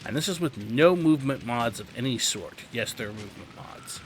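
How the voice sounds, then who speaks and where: A man's voice: mid-range voice, animated, fairly quick, low-quality recording. A man giving tutorial commentary over gameplay in an explanatory, conversational way. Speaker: gaming video host. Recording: faint game noise behind.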